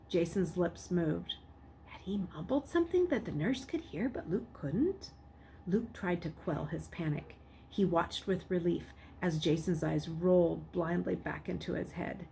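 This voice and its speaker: A woman narrating a novel aloud in an expressive, dramatic storybook style. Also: on the low side. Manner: dramatic, acts out the characters. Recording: clearly noisy background.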